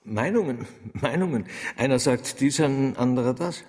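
A male actor as a reserved young genius in a radio play. His medium-pitched voice is terse and quietly stubborn, heard in a long hall reverb. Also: expressive, normal speed, lightly acted. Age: young adult. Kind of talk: German radio drama acting.

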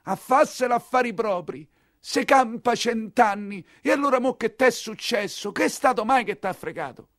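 Guy doing a nagging roman scold, voice way up high, singsong telling-off, stage play style. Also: animated, normal speed, a bit bright, no room sound, clean studio sound. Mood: reproachful. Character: scolding, nagging voice quoting a proverb at the coachman.

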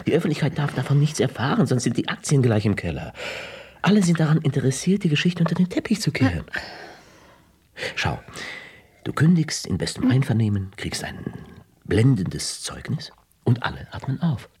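Raspy coaxing office schemer, low voice that jumps around a lot. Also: male voice, sing-song, moderate pace, darkish tone. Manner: persuasive, pleading. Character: scheming male executive pleading with his lover.

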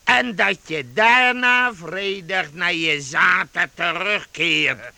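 Vagabond chieftain: high-pitched, gravelly, boisterous and pompous, theatrical radio drama delivery. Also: male voice, slow, pushed and bright, no echo, exaggerated voice. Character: boisterous mocking one-eyed vagabond chief, self-styled duke. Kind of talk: Dutch radio play acting.

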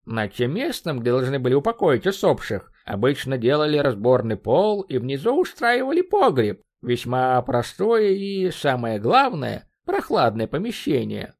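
An eager, pedantic professor from an audiobook, acted out by a man. His voice sits fairly high and swings widely in pitch. He lectures in a formal, businesslike way, full of scholarly zeal. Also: exaggerated voice.

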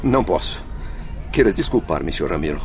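A man's voice: low-pitched, big pitch swings, brisk, gravelly, darkish tone, faint music behind, exaggerated voice. A man acting in a radio drama as a stubborn, distraught father's spirit, agitated and insistent. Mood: indignant distress.

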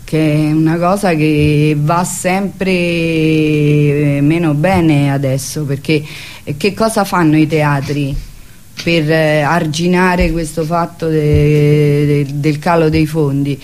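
A woman's voice: deep voice, steady tone, takes it slowly, a little mellow. A woman being interviewed as a theatre artist, talking conversationally and reflectively, searching for her words.

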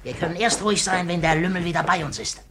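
A man's voice: sits high, steady tone, fast talker, music in the background. A man playing a wily, agitated criminal gang boss in a 1950s German radio play, urgent and given to repeating phrases.

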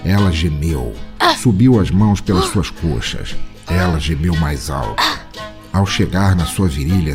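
Brazilian man, very low pitch, dark mellow tone, dramatic deadpan narration, comic audio drama, music bed.

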